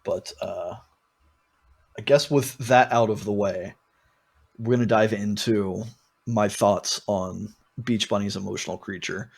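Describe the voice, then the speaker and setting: Man, podcast host, creaky fry, husky tone, casual album talk, informal, wandering asides. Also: on the low side, fairly even intonation, unhurried.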